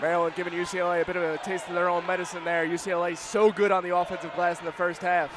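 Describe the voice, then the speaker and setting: High-voiced male color commentator in noisy arena. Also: level tone, racing, a crowd in the background. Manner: enthusiastic, informal, projecting over noise. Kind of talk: conversational sports analysis. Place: courtside in a basketball arena. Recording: loud background noise of a gym. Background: arena noise, bouncing ball.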